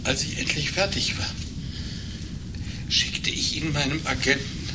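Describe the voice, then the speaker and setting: An actor playing an agitated, embittered failed writer in a German radio play, speaking haltingly and accusingly. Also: male voice, on the high side, natural voice. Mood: bitter, halting. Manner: halting, emotionally wrought, accusing. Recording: noisy 1980s radio play recording.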